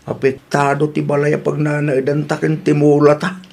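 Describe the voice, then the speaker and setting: A man's voice: on the high side, monotone, normal speed, vocal fry, a little mellow. A man playing a worried elderly Filipino farmer. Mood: troubled. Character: worried elderly farmer father, humble and anxious. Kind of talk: audio drama dialogue.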